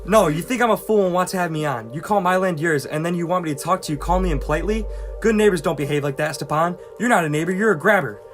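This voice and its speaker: An actor playing a nervous, touchy hypochondriac landowner in a stage comedy, speaking in a fussy, formal way that stumbles when agitated. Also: male voice, a little high, fast, soft background music, close to an everyday voice. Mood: outraged. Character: nervous, touchy hypochondriac landowner, a male suitor prone to outrage.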